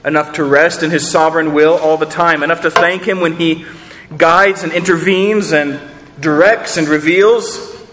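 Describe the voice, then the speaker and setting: A man's voice: a little high, fairly even intonation, moderate pace, in a large hall, noisy background. A man preaching a sermon, earnest, asking rhetorical questions and repeating words as he builds each point. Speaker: pastor.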